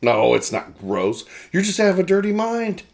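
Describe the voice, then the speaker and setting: A man's voice: high voice, very animated, normal speed, gravelly, a little mellow. A man from the American Midwest chatting casually about an album, teasing his friend and sharing trivia he looked up. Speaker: podcast co-host.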